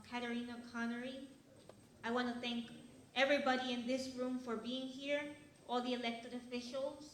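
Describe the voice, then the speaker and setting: A woman's voice: a little high, fairly bright tone, a little hiss or hum. Earnest young woman, echoey hall speech. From Ecuador. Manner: earnest, halting, with fillers and restarts. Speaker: award recipient giving a speech. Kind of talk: personal acceptance speech. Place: event hall.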